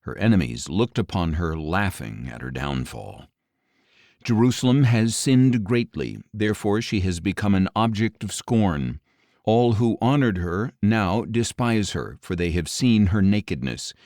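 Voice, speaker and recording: A man reading scripture as an audiobook narrator in a solemn, grave, measured delivery with deliberate pauses. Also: low-pitched, lively intonation, darkish tone, dry recording, studio quality.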